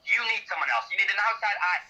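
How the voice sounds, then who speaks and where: A man's voice: high voice, fast, pushed and bright, low-quality recording. A man playing a worried, insistent researcher friend over the phone in a dramatic skit. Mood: urgent, insistent. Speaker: guest actor playing a researcher.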